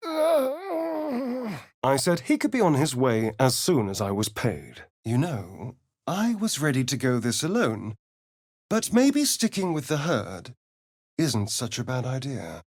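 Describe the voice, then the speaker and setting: An actor voicing a sly, vain, theatrical vampire spawn rogue in a video game, with an arch, flirtatious drawl. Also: male voice, medium pitch, huge pitch swings, takes it slowly, a little mellow, no room sound, studio quality, full character voice. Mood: strained, alarmed, then wry, conversational.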